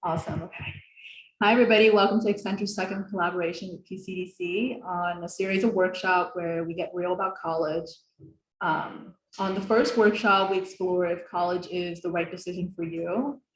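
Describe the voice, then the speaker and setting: A woman's voice: fairly low pitch, steady tone, fairly quick, echoey room, rough recording. A young woman from the Philadelphia area hosting an online workshop on a video call, talking casually with many fillers. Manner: casual, friendly, with frequent fillers. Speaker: host.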